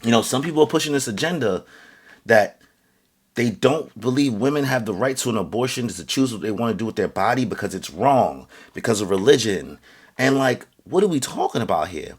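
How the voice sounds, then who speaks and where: A man giving an earnest, halting opinion rant to camera, with many fillers and restarts, growing louder and higher as he gets heated. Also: animated, slightly rough. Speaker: vlogger.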